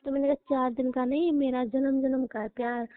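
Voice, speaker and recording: A woman's voice: high voice, flat delivery, brisk, dry recording. Plaintive young woman on a tinny phone line. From rural Uttar Pradesh, India. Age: young adult. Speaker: girlfriend on a personal phone call. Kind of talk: casual intimate phone conversation. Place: phone call recording. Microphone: telephone.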